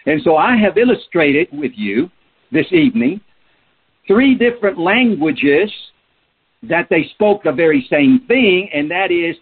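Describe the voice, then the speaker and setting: Middle-aged guy w/ preaching, kinda husky, sounds like a phone line. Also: sits high, big pitch swings, a little slow. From Peru. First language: Spanish. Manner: earnest, emphatic, asks rhetorical questions. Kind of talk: sermon.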